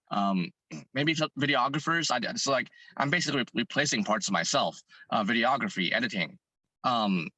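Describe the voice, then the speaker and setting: Hoarse male interview guest, bright-toned, earnest, thinks out loud. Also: fairly low pitch, no room sound, poor audio. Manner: earnest, thinking aloud with many restarts. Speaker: fitness content creator and business owner. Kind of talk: interview guest answering questions.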